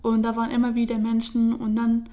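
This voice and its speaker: A woman's voice: fairly high pitch, monotone, brisk, dark tone. A young woman from Syria, telling her story in German with many fillers and restarts. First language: Kurdish. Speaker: interviewee. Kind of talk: casual personal account.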